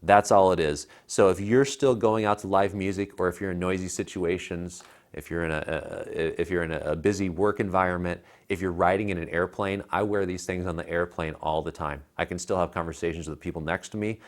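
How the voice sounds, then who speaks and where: A low-voiced American man in his thirties chats as a tech reviewer. He talks casually and colloquially, pressing his recommendations with emphasis. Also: fairly even intonation, brisk, hardly any pauses. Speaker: tech reviewer, show co-host. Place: living room at home. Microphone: clip-on lapel mic.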